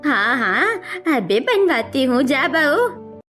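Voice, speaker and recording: A woman acts out an elderly cartoon matriarch who dotes and gives orders. Her voice is very high and she speaks slowly in a fussing, indignant way. Faint music plays behind her. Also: big pitch swings, fairly bright tone, heavily acted. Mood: bossy. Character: doting, indignant elderly mother, matriarch of the household. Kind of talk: animated cartoon story acting.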